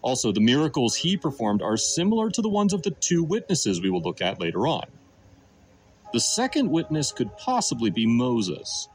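A man's voice: medium pitch, wildly swooping pitch, normal speed, slight background noise. A man delivering a scripted Bible sermon and reading scripture in an emphatic, declarative way. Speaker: Bible teacher and narrator. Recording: music playing under the voice. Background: music.

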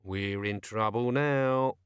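Man doing an artless, sceptical corporal, pitched up. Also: lively intonation, unhurried, fairly bright tone, no echo, clean studio sound. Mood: uneasy. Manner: colloquial, naive, questioning. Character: scruffy, artless, sceptical low-ranking male police corporal.

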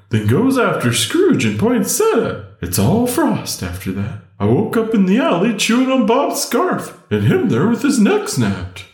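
A man's voice: high voice, heavily acted. A man playing a hard-drinking, hot-tempered snowman with folksy streetwise speech in a noir radio drama. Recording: roomy echo, music behind.

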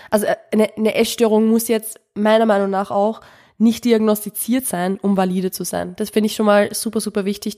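A woman's voice: mid-range voice, darkish tone. Young woman, podcast host, stuffy nasal cold voice, casual self-deprecating chatter with restarts. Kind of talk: solo podcast talk.

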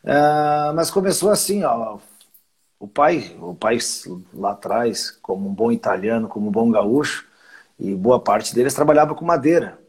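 Man, 50s, husky, relaxed rambling interview guest. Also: medium pitch, measured pace. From southern Brazil. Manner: rambling, restarts phrases, chuckles often. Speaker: winery owner, guest. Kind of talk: casual conversational interview.

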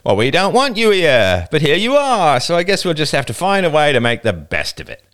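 Man doing a sneering teenage boy, high, loud, mocking, bullying, storybook figure. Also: wildly swooping pitch, brisk. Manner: sneering, taunting, bursts into bellowing.

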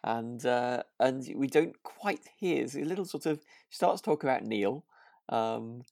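A man's voice: medium pitch, expressive, a little slow, creaky, a little mellow, no room sound, clean studio sound. A man co-hosting a casual podcast about a radio drama, talking in a hesitant, rambling way. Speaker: podcast co-host.

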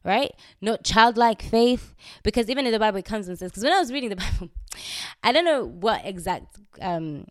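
A woman's voice: medium pitch, expressive, a little fast, dry recording, clean studio sound. A young adult woman hosting her own podcast in a casual faith talk, chatty and candid with fillers and frequent laughs; English is not her first language. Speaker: podcast host. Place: indoors, recording herself on video.

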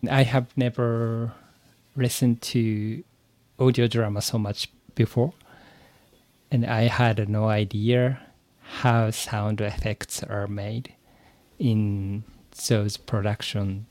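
Slow, halting man with a Japanese accent. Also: fairly low pitch, flat delivery, darkish tone, dry recording. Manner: halting, searching for words in a second language. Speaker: podcast guest, English learner. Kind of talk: interview answers.

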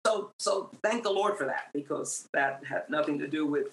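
A woman's voice: low voice, moderate pace, slight background noise. A middle-aged woman from New York with Puerto Rican roots, a singer giving her faith testimony as a guest, earnest and growing emphatic. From Puerto Rican, raised in New York. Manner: earnest, grows emphatic and animated. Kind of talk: faith testimony in a podcast interview. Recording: roomy, echoey sound.

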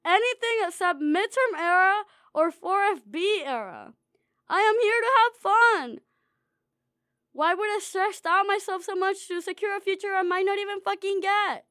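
A woman's voice: extremely high pitch, normal speed, fairly bright tone, dry recording, clean studio sound. A young woman reading her own personal essay aloud in a wry, dreamy, self-mocking way. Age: young adult.

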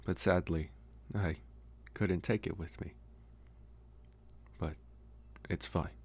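A low-voiced, slow-talking young male vampire, acted by a man for ASMR roleplay. He is possessive but socially awkward, hesitating as he tries to sound intimidating and coming across as earnest. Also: steady tone, gravelly, a little mellow, breathy edge, exaggerated voice. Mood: reflective, open.

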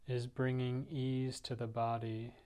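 A man leading a guided meditation, speaking gently and softly in unhurried, spaced-out phrases. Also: mid-range voice, little pitch movement, clear, resonant tone. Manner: soft, gentle, unhurried instructing with pauses. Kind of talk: guided body-scan meditation.

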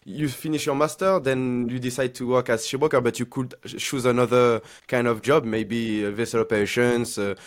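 A man's voice: mid-range voice, fairly even intonation, a little fast, few pauses. A man, hosting and interviewing a guest on a podcast. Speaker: podcast host.

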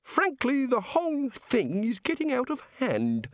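A man's voice: very high-pitched, wildly swooping pitch, a little slow, dry recording, clean studio sound, pitched above the performer's own voice, exaggerated voice. A man reading a story aloud and voicing a curious, oblivious male creator god who asks question after question. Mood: eager curious. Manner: chatty, eager, inquisitive.

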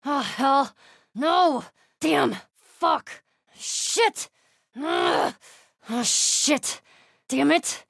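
A tough young woman surviving in a video game world. She is wry and terse, with slow pacing and widely swinging pitch. The delivery is natural, and the sound is very dry. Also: expressive, gravelly, fairly bright tone, dry recording, exaggerated voice. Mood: enraged, struggling. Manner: muttering, terse, casually profane.

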